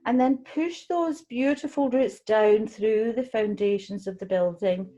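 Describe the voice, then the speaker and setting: A woman leading a guided relaxation meditation, speaking gently and soothingly, unhurried, with pauses between phrases. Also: a little high. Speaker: guided meditation leader, tai chi qigong teacher.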